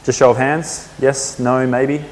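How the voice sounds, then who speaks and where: A man's voice: mid-range voice, little pitch movement, measured pace. A man hosting a networking event, chatting with the audience and asking the room questions. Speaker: event host. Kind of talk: casual facilitating at a networking event. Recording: long reverb in a hall, faint room noise.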